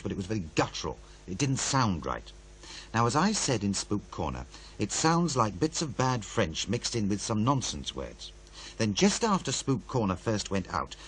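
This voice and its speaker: A man's voice: on the low side, slightly rough, full character voice. An actor playing a wary, world-weary male producer in a radio play, blunt, sardonic and conversational. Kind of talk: naturalistic radio play dialogue.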